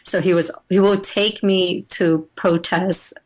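A woman's voice: fairly low pitch, steady tone, slow, poor audio. A middle-aged woman from Afghanistan, a teacher and author, talking as a guest in a radio interview, with many restarts and fillers.

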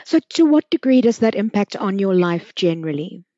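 A woman's voice: medium pitch, very animated, moderate pace, dark tone, lo-fi sound. A woman with a South African accent, speaking as a podcast host and interviewer. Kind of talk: scripted podcast introduction and interview.

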